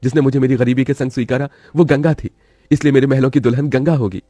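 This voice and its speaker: A man's voice: mid-range voice, steady tone, fast, mellow, dry recording, clean studio sound, close to an everyday voice. A man playing an earnest, polite young suitor from a rich family in a cartoon moral story. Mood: earnest confession. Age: young adult.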